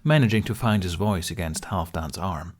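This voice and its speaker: A male audiobook narrator with a slightly low voice. He reads scripted fiction in a dramatic storytelling style. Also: moderate pace.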